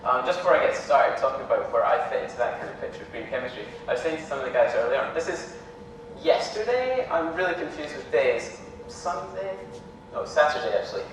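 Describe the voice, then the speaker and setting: A young Scottish man giving an academic lecture in a relaxed, hesitant way, full of ums. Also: fairly quick. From Scotland. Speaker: visiting lecturer. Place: lecture hall. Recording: echoey hall from far off, with rumble.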